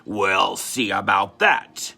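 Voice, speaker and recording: A man's voice: low-pitched, takes it slowly, creaky, pushed and bright, breathy edge, heavily acted. A man voicing a boastful male donkey in a growly voice for a children's storybook. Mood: blustering.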